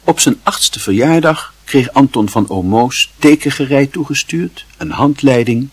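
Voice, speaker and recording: A man's voice: medium pitch, husky, no echo. A man reading scripted literary narration as the narrator of a radio drama.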